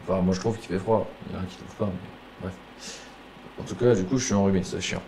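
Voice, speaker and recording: Low-voiced guitar teacher with a cold. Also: male voice, fast talker, slight background noise. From southern France. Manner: relaxed, chatty, explains step by step with fillers. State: has a cold, coughing. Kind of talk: casual guitar lesson.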